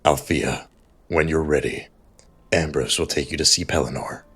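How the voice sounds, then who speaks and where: A man voicing a gruff, battle-weary, protective mentor in an audiobook, with a growling, blunt delivery and a gravelly rasp. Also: rumbling low, fairly even intonation, moderate pace, fairly bright tone, exaggerated voice. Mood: stern. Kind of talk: audiobook character dialogue.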